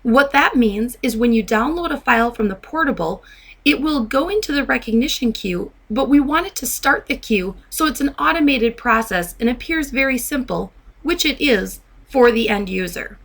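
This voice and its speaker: A woman narrating a step-by-step software tutorial as a trainer, in a methodical, instructional way. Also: on the high side, expressive, normal speed, vocal fry.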